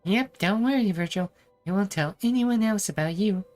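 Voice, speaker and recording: A woman's voice: medium pitch, normal speed, a little mellow, close to an everyday voice. Small floating companion for a video game, female actor: childlike, naive, eager, light music underneath.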